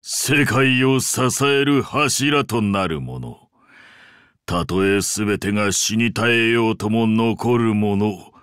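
A man voicing an ancient, imperious sea-god father in a Japanese game, speaking in a pompous, archaic and deliberate way with grand indulgence. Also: fairly low pitch, lively intonation, a bit bright, clear, resonant tone, dry recording, studio quality. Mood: solemn, musing. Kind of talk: Japanese game character voice acting.

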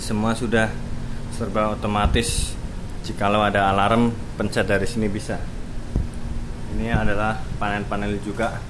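A man's voice: lowish voice, level tone, moderate pace, long pauses. A young man casually showing a ship's engine room while filming on his phone, explaining as he walks and repeating his words. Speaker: vlogger and seafarer. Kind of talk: casual walkthrough tour. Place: ship tunnel and engine control room. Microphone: handheld phone camera. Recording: loud engine noise, phone mic. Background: loud machinery hum.

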